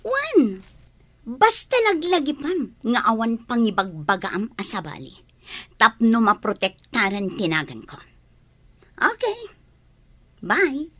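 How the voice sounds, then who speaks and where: An actress playing a jealous, scheming wife in an Ilocano radio drama, with a theatrical, emphatic delivery. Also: female voice, a little high, heavily acted. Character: jealous, scheming wife plotting against her rival.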